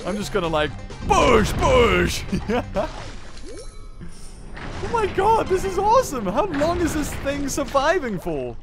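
A young man giving excitable gameplay commentary, often shouting and laughing. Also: very high voice, takes it slowly, music in the background. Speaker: gaming youtuber.

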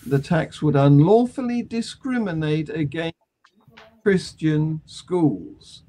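Older British man, mellow and measured. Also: on the high side, low-quality recording. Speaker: panel discussion host. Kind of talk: conversational news discussion. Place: small attic room at home. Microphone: video call.

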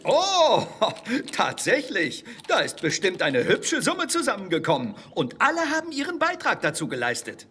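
An actor playing a jovial, conciliatory village savings keeper in a children's audio drama. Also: male voice, high-pitched, huge pitch swings, normal speed, pushed and bright, a little hiss or hum, full character voice. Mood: delighted.

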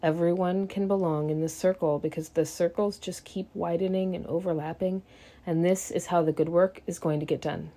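A woman giving a scripted sermon reading, measured and deliberate, with emphatic pauses. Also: lowish voice, steady tone, dark tone, a little hiss or hum. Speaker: service speaker.

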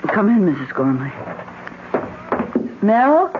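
A woman's voice: medium pitch, sing-song, slow. An actress playing a polite, sympathetic woman in a 1970s radio drama. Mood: gentle concern. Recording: thin phone-like old radio, faint noise.

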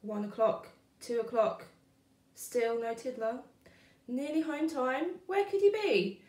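A woman reading a children's picture book aloud with expressive, deliberate, rhythmic narration. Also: sits high. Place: indoor room, book on wooden surface. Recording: roomy indoor echo.

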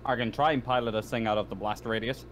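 A man's voice: medium pitch, steady tone, fast, a little hiss or hum. A man playing a tabletop roleplaying game, chatting casually in a brash, sweary way.

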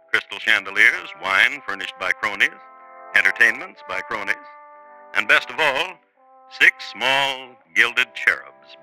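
A man hosting and narrating a 1950s radio crime drama in a wry, deadpan, measured storytelling manner. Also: lowish voice, low-quality recording. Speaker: host and narrator. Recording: vintage 1950s radio broadcast.

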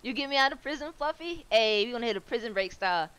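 A woman in her twenties with a low voice, streaming a game from home on a headset, chatting loosely with viewers, swearing and laughing. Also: animated, fast talker, a bit bright, clean tone, rough recording. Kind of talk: casual chat while gaming.

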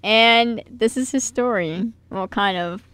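A woman's voice: a little high, slow pace, fairly bright tone, clear, resonant tone. A giggly young American woman hosting a college radio show in casual banter. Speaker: college radio show host and writer-actor. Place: radio studio.

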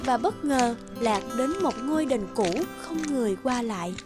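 A woman tells a scripted fairy tale as narrator. Her voice is very high, with music playing clearly behind it. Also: animated, moderate pace.

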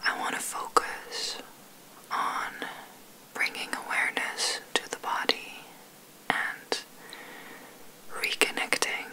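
Whispering woman guiding slow sleep meditation. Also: rough recording. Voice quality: breathy whisper. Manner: soothing, gentle, unhurried with long pauses. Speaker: meditation guide, ASMR host. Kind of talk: ASMR guided sleep meditation.

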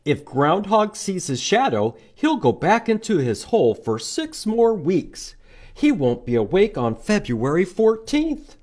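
A man voicing an excitable, earnest storybook bunny with emphatic delivery. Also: high voice, unhurried, voice pushed up, exaggerated voice. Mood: worried, earnest. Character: excitable young bunny pointing out problems.

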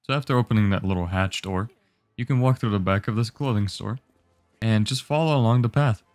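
A young man with a fairly low voice narrates a game guide. He explains each step in an easygoing, instructive way, casual and unhurried. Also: darkish tone, dry recording.

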